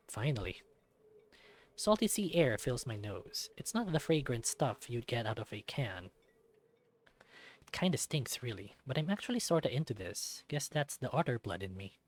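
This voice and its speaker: A man's voice: medium pitch, sing-song, normal speed, pauses often. A man voicing a self-deprecating young male otter, narrating a visual novel in the first person with wry self-mockery. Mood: mildly weary.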